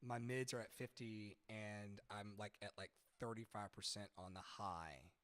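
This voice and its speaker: A man with a boomy baritone-tenor voice teaching singing on a live stream, rambling and chatty, swearing casually between sung examples. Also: steady tone, measured pace, a bit bright. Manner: rambling, chatty, profane, sings snatches mid-talk. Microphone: studio mic with added reverb. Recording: reverb-drenched streaming mic.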